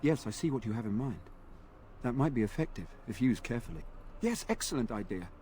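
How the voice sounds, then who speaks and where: An actor playing an earnest, dutiful knight commander who speaks in formal, courtly phrases. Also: male voice, mid-range voice, animated, brisk, mellow, slight background noise, natural voice. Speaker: game character. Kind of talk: video game voice acting. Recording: game audio with faint ambience.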